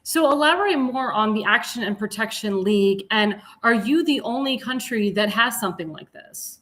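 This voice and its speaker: A woman hosts a political talk show and reads out news items with emphasis. Her voice is bright and a little higher than most. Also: expressive, normal speed.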